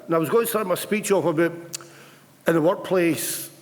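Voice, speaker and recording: A man's voice: high-pitched, moderate pace. Earnest man speaking in a reverberant hall. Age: 50s. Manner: earnest, plain-spoken, with occasional stammered restarts. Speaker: retired union rep speaking to a conference. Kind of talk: conference speech. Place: large conference hall.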